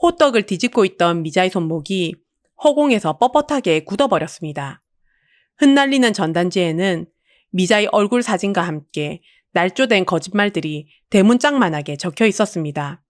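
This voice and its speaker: A woman's voice: lowish voice, no room sound, clean studio sound. A woman reading a dramatic scripted story as an audiobook narrator.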